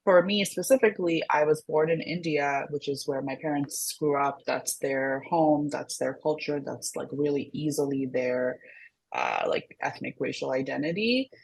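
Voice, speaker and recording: Low husky woman, Americanized accent. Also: animated, low-quality recording. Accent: American-sounding international school accent. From Singapore. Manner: thoughtful, halting, many fillers and restarts. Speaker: mental health counselor co-hosting a discussion. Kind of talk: casual reflective conversation.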